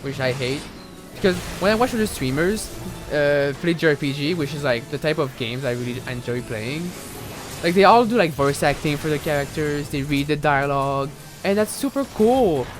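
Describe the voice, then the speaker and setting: A man's voice: a little high, lively intonation, moderate pace, music in the background. A young man with a French accent, a native French speaker, chatting and rambling casually while streaming a game, with many fillers and restarts. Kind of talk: casual live gaming commentary.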